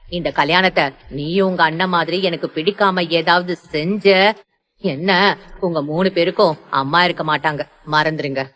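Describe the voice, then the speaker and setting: A woman's voice: fairly low pitch, fairly even intonation, normal speed, a bit bright, close to an everyday voice. A woman playing a stern, domineering middle-aged mother in an audio story, scolding and threatening with emphatic delivery. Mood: menacing. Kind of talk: Tamil audio story acting.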